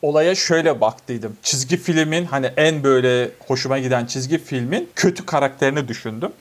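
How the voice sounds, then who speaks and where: A man's voice: mid-range voice, a little fast. A young adult man, chatting casually as a podcast guest. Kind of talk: casual chat, anecdotes.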